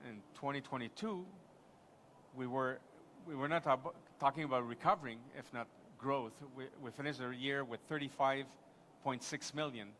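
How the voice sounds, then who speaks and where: A man speaking as an airport executive at a live conference panel, halting and restarting his sentences. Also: mid-range voice, fairly bright tone, a little hiss or hum. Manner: halting, many restarts and fillers.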